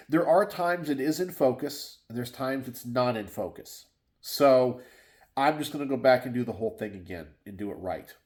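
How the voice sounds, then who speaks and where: A congested, slightly sniffly middle-aged man from Texas talks casually in his home garage. He rambles and circles back through fillers and restarts at an everyday middle pitch, like a host catching his viewers up. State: stuffed up and sniffly. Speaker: gun channel host. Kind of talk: casual solo chat to camera.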